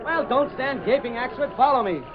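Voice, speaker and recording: An actor playing a brash, pushy, confident newspaper reporter in old radio drama style, with slangy, bossy delivery. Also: male voice, very high voice, brisk, a crowd in the background. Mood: brisk, bossy. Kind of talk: 1930s-40s radio drama acting. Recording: old radio recording, muffled, noisy.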